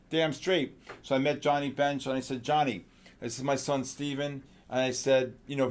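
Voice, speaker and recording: A middle-aged man from New York with a New York accent, hosting a live trading stream in casual, rambling, jokey chat and calling out viewers by name. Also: mid-range voice. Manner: drawn-out announcer-style calls. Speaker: trading livestream host.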